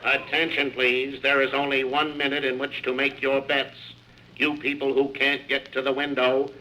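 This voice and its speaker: An actor playing a deadpan racetrack announcer in a 1950s radio comedy. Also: male voice, medium pitch, natural voice. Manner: deadpan, measured delivery. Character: deadpan male racetrack public-address announcer. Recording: slightly noisy old radio recording.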